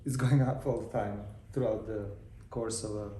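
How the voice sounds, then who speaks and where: A man's voice: lowish voice, steady tone, normal speed, mellow. A man, a guest chatting casually and rambling a little as he answers. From Croatia. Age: 50s. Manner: easygoing, rambling with restarts. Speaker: guest, film producer and actor. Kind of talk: casual interview talk. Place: room in Los Angeles. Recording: echoey room, faint noise.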